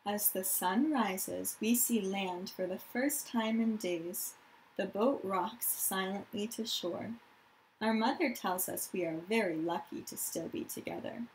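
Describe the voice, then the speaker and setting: A woman teacher reading a picture book aloud to children in an expressive, deliberate storytelling manner, with explanatory asides. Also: medium pitch, a little hiss or hum, low-quality recording. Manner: dramatic, deliberate storytelling delivery, addressing children directly.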